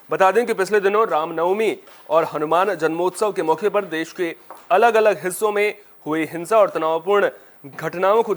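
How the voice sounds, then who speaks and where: High-pitched male news anchor. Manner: formal, presentational. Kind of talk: scripted Hindi TV news bulletin reading.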